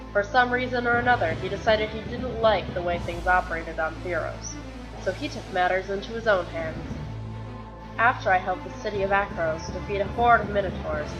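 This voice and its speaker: A woman's voice: mid-range voice, lightly acted, low-quality recording. A woman playing a weary, guilt-ridden warrior and reluctant champion, telling her story earnestly in a fan-made fantasy drama. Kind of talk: dramatic dialogue in a fan-made motion comic.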